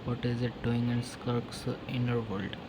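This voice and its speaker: Male gaming commentator: casual, little pitch movement, mid-range voice, noisy recording. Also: flat delivery, a little slow. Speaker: gamer commenting on playthrough. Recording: noisy background, hum and ambience.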